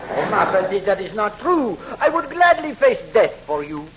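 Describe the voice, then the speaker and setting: An actor playing a cowardly, flattering count in a florid 1940s radio comedy style. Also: male voice, sits high, normal speed. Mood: mock-brave. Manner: theatrical, florid, mock-gallant. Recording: dull old radio recording, audience, noisy.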